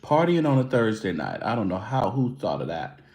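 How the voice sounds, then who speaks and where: A man's voice: on the low side, very animated, normal speed, darkish tone, echoey room. A man hosting his own show, chatting loosely and casually with his viewers. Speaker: show host. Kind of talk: casual livestream chat.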